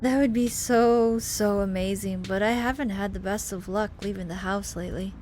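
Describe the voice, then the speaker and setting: A woman's voice: medium pitch, steady tone, moderate pace, darkish tone, faint music behind, close to an everyday voice. Woman voicing a frail, earnest night-owl painter. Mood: earnest, wistful. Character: frail, polite night-owl painter with little luck going out.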